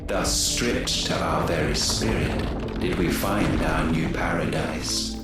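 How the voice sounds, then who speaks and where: An old godlike game villain, solemn n haughty, super echoey with loud music behind. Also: male voice, very deep voice, steady tone. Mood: solemn, grave. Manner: portentous, archaic, measured. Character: ancient godlike male being, grave, haughty, condemning mankind. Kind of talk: solemn scripted video game monologue. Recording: echoing voice over loud music.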